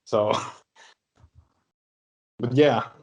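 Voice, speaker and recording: A man's voice: medium pitch, very slow, darkish tone, breathy edge. A young man from Finland with a Finnish accent, rambling through a casual video call chat and laughing between phrases. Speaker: fan film creator.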